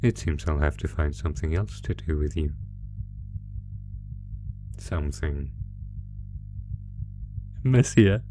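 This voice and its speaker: A man's voice: rumbling low, lively intonation, warm tone, soft background music, heavily acted. A man playing an ancient, predatory monster who theatrically taunts human prey in a horror audio roleplay. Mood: gleeful, sinister. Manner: theatrical, savoring, taunting, drawn-out phrasing.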